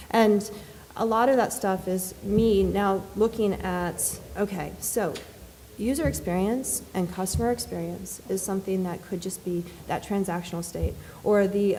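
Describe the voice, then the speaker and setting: A soft-spoken woman on a panel, answering at length with many fillers and restarts. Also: mid-range voice, a little hiss or hum. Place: conference hall. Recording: distant reverberant hall with faint ambience.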